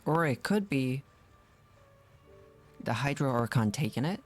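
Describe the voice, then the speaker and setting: Offhand game streamer over soft music. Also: mid-range voice, animated, a little slow. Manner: offhand, chatting over the game's dialogue. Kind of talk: casual live stream commentary.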